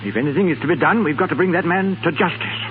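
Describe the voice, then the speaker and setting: A man's voice: on the high side, quick pace, lightly acted. An actor playing a weary, stern older lord and father in 1930s radio drama style. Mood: grave, insistent. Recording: hissy, narrow-band 1930s radio recording.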